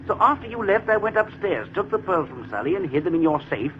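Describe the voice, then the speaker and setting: Casting: secretive, urgent American friend, 1940s radio mystery, lively inflection, hissy old recording. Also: male voice, high voice, quick pace, highs cut off. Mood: confessing. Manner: urgent, hesitant stammers. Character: anxious, secretive gentleman friend of a sleuth.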